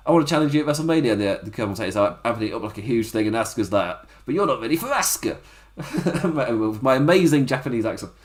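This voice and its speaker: Chatty man reviewing wrestling. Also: mid-range voice. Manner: chatty, rambling, laughs often. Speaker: wrestling podcast host. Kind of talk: casual solo review. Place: home setup on camera.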